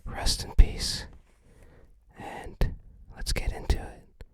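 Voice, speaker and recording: An ASMR host narrating an unboxing in a breathy, unvoiced whisper, with gentle, rambling commentary. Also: slow pace.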